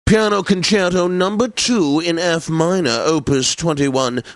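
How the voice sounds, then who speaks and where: A man's voice: a little high, unhurried, no room sound. Pompous, suave classical radio DJ man. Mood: theatrical, smug. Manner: pompous, theatrical, savouring words. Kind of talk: radio announcing, video game comedy.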